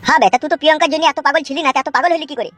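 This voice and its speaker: Woman acting a fast-talking sly young schemer. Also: sits high, fairly bright tone, no room sound, soft background music. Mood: probing. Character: sly young male friend, scheming love rival. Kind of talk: Bengali comedy cartoon dub.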